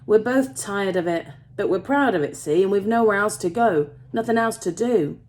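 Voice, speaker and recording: A kind young working man from a storybook as a woman narrator puts him on, protective and earnest. He speaks Yorkshire-accented dialect in a coaxing way, with pitch that rises and falls freely. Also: animated, moderate pace, a little mellow, natural voice. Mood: kind, reassuring. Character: kind young male tin miner, protective, reassuring.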